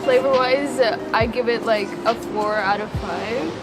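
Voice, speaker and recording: A young woman with a fairly high voice chats informally as a student co-host. She explains her views in plain, casual language. Loud music runs behind her. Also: unhurried, poor audio. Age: young adult. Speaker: student co-host reviewing coffee shops. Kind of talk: casual chat.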